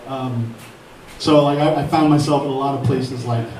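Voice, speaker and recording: Booming man thanking a room full of people. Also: fairly even intonation, normal speed, a little mellow. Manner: grateful, rambling, self-deprecating. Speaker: beat producer. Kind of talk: speech at a live event on a mic. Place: event hall with an audience. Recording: echoey hall mic from far off.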